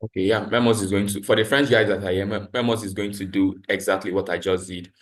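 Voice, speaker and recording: Low-voiced man leading an online tutorial. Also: steady tone, fast talker. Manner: instructional, repeats points. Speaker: training facilitator. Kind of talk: live tutorial with screen demonstration. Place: online video call, voice over screen share.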